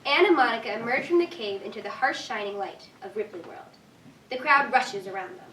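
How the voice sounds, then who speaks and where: A woman's voice: on the high side, expressive, a little fast, a bit bright, distant mic. A young woman reading stage directions as scripted narration at a staged reading. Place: live staged reading in a room. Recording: echoey room from afar, faint noise.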